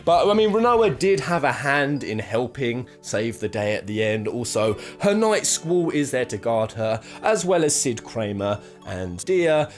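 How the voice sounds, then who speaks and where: A man's voice: medium pitch, sing-song, unhurried, hardly any pauses. A man hosting a countdown in a casual, informal, conversational way, speculating as he comments on video game lore. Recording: music playing behind the voice. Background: game soundtrack music.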